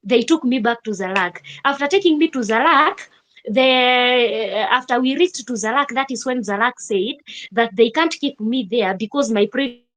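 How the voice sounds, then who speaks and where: A young Kenyan woman telling a personal story in a vlog, with animated emphasis and repeated rhetorical questions. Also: fairly high pitch, moderate pace. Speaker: vlogger.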